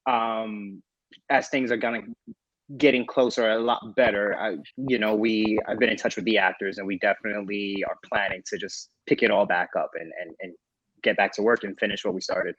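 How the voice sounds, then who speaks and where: A man speaking as a panelist in casual conversation, halting as he searches for words. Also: on the low side, steady tone, a bit bright, no echo. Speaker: panelist, director with acting background. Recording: dull, lo-fi microphone sound.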